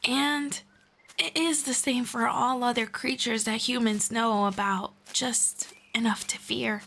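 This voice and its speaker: A woman playing a friendly, knowledgeable elf in a scripted roleplay, speaking to the listener in a formal, measured, gently explanatory way. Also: fairly high pitch, steady tone, slight background noise. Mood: calm, confiding. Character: friendly, knowledgeable female elf guiding a human. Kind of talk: scripted roleplay audio, one-sided conversation with listener.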